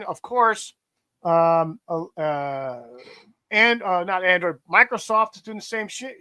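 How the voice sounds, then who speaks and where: A man with a high, bright voice talks over current events on a casual podcast. He rants and curses with emphasis and keeps going at length. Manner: profane, ranting, emphatic. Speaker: podcast host. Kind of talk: casual political chat.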